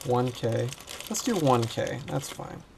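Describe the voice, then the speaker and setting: Man, unhurried pace, slightly low pitch, halting, thinking aloud, hands-on technical demonstration. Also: lively intonation, vocal fry, heavy background noise. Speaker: electronics repair instructor. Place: indoors at a workbench.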